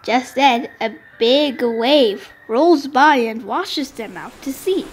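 A woman narrating a storybook for young children with expressive, deliberate phrasing. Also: high voice, breathy edge, faint music behind.

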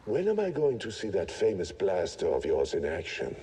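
A man's voice: deep voice, wildly swooping pitch, moderate pace, mellow, a little hiss or hum, full character voice. A man playing an easygoing, world-weary raider mercenary in a video game, with a wry, folksy manner. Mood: wry, friendly. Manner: laid-back, wry, folksy. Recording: reverberant, faint game ambience behind.

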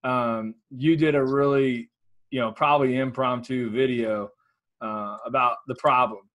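A man's voice: medium pitch, steady tone, a bit bright. Man hosting a webcam interview. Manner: halting questions full of fillers and restarts. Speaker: interview host. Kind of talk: casual interview over video call. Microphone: webcam on a video call.

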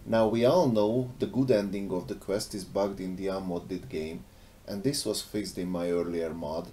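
A man's voice: fairly even intonation, normal speed, warm tone. A man narrating an explanatory voice-over as a game modder. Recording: faint background noise, low rumble.

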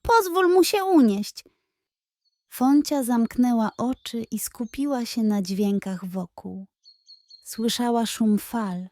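Slow, dry-sounding woman storyteller. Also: mid-range voice, warm tone, no room sound. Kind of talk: scripted narration of a children's bedtime story.

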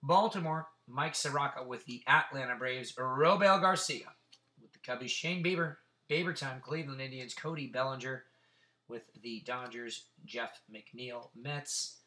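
A man with a raspy, sore throat hosting a casual card-breaking stream, chatting and swearing as he reels off player names. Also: medium pitch, a little slow, bright, forceful tone. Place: tabletop card-breaking setup indoors.

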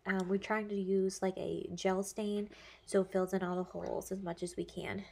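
A woman's voice: medium pitch, moderate pace, vocal fry, soft background music. A woman in her thirties, a home vlogger, chatting casually and filling her talk with "like" and "honestly". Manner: chatty, relaxed, many "like" and "honestly" fillers. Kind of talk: casual chat.

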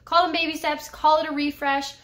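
A woman's voice: high-pitched, level tone, brisk, a bit bright. A woman in her thirties, a home cleaning vlogger, talking in a chatty, upbeat, encouraging way to the camera from her bedroom. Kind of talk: casual chat to camera and voice-over.

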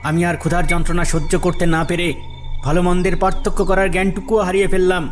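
Man playing a high-voiced, pleading poor man. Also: flat delivery, quick pace, faint music behind, lightly acted. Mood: sorrowful recounting. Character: poor, starving, remorseful man confessing to murder. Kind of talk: dramatic cartoon storytelling.

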